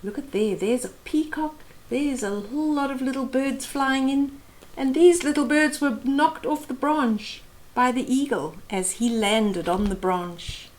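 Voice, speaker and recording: A woman's voice: high-pitched, a little slow, darkish tone, rough recording. A middle-aged woman hosting a children's storytime, reading a picture book expressively and explaining it to young listeners. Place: small indoor room.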